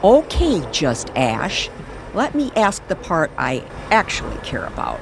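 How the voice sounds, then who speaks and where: A woman playing a sceptical, sharp-tongued reporter with a sardonic, pointed way of talking in an audio drama. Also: lowish voice, huge pitch swings, unhurried, noisy background, heavily acted. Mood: sardonic, probing. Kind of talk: old-time radio style drama.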